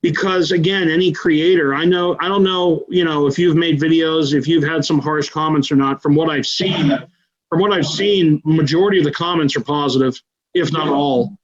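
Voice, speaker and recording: A man's voice: on the high side, fairly even intonation, moderate pace, muffled recording. A man in his thirties chatting casually as a podcast guest, blunt and profane in an offhand way. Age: 30s.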